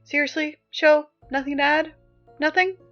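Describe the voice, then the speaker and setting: A woman acting as an exasperated, wry high school student reading morning announcements in an apocalypse audio drama, halting and conversational. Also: steady tone, a bit bright, highs cut off. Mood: insistent, prying. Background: music.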